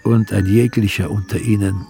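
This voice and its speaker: A grave Evangelist narrating scripture for radio drama. His voice is low and full of fry, and the delivery is measured and solemn. Music plays clearly behind him. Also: flat delivery, warm tone.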